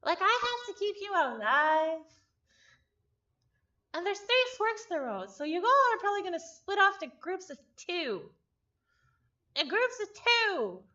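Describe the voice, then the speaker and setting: A woman's voice: very high-pitched, very animated, measured pace, pauses often, bright, forceful tone, lo-fi sound. A woman reading a visual novel aloud in a playful, theatrical manner, breaking off into chatty asides. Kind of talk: visual novel read-along with casual reactions.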